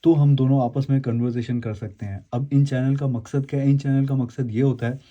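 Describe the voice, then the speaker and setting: A man's voice: medium pitch, fairly even intonation, a little fast, warm tone, muffled recording. A man with a mid-range voice presents a casual product demonstration. He explains things to his viewers in a rambling, conversational way, often going back to repeat or correct what he has just said. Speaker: host of an unboxing and review video.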